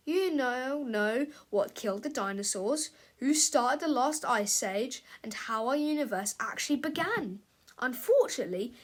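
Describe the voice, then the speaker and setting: High-voiced woman reading a children's book. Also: fairly bright tone. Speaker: storybook reader. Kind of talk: expressive children's book narration.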